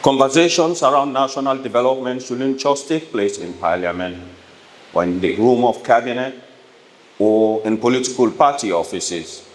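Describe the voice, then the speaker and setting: A man with a Sierra Leonean accent giving a formal keynote address in a deliberate, measured way. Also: medium pitch. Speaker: keynote speaker.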